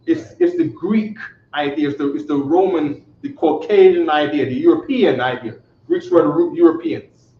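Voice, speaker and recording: A man's voice: fairly high pitch, moderate pace. A man teaching a live online scripture class, emphatic and rhetorical, often checking that listeners follow. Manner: emphatic, keeps asking "you see what I'm saying?". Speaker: religious teacher. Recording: echoey, distant, muffled.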